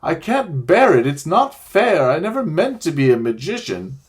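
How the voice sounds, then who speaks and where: Male reader as a cowardly elderly gentleman; trembling, high, whining, swinging in pitch. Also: very animated, normal speed, husky. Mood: wailing self-pity. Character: fussy, cowardly old gentleman, trembling and wailing.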